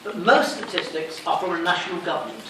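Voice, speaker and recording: A man's voice: sits high, very animated, quick pace. A man giving a classroom revision lecture, explaining in a didactic way and answering his own questions. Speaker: sociology lecturer. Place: lecture room, recorded from a distance. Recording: echoey room, distant mic, noisy.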